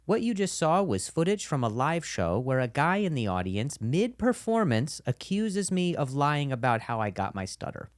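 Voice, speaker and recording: A man's voice: on the high side, moderate pace, few pauses. A man in his thirties with a stutter, a stand-up comedian talking to the crowd and narrating his video. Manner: animated, exasperated, escalating outbursts.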